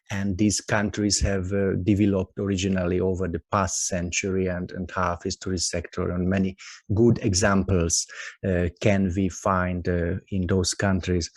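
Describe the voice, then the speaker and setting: A man with a Hungarian accent giving a webinar presentation over a video call, halting and full of "uh" and "also" fillers. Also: sits low, darkish tone, no room sound. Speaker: guest expert presenter. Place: office with company logo behind him.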